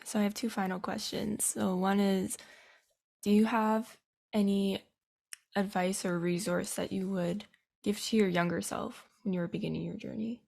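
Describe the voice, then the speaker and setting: A woman's voice: medium pitch, level tone, moderate pace, creaky, darkish tone. A woman hosting a podcast, asking interview questions and giving attentive, brief affirmations. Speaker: podcast host.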